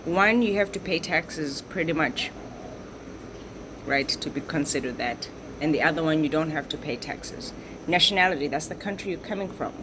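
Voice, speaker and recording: A woman's voice: deep voice, noisy background. A woman giving commentary in a lecturing way, repeating her points and checking in with "right?". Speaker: social commentator. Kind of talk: explanatory commentary.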